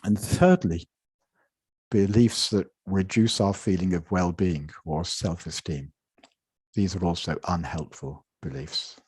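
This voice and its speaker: A man giving a measured conference lecture from slides, with frequent repetitions and restarts, speaking over an online video call. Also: low voice, darkish tone, husky, no echo. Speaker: conference presenter.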